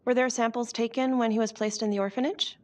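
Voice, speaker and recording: A woman's voice: fairly high pitch, steady tone, quick pace, darkish tone, lightly acted. A woman acting a composed, probing district attorney in a crime game, cool and direct in a naturalistic manner. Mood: cool, assertive. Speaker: video game actor. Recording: faint music under the dialogue. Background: background music.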